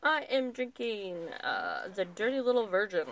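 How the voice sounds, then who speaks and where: A woman in her thirties co-hosting a podcast, reading out details in an even, measured way. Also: medium pitch. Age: 30s. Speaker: podcast co-host. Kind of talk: casual chat, reading notes aloud.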